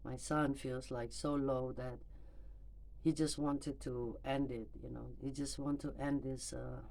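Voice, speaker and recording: A woman's voice: extremely low pitch, fairly even intonation, a little slow, vocal fry, dark tone. A woman in her forties who is not a native English speaker, talking hesitantly with many fillers into her phone camera for a personal vlog. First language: neither English nor German. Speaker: mother vlogger.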